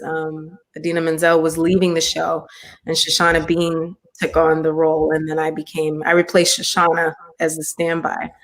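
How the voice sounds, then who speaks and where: A woman with a low alto speaking voice, chatting as a guest on a video call, bubbly and laughing often. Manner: bubbly, laughs often, says honey and y'all. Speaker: guest performer. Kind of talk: casual video call chat.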